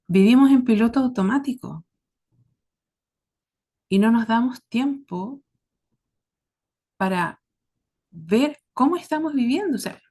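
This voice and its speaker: A woman with a Chilean accent giving a reflective monologue to her listeners, speaking earnestly and deliberately in short, paused phrases. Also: on the high side, animated, mellow. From southern Chile. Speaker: host of a series about caregiving.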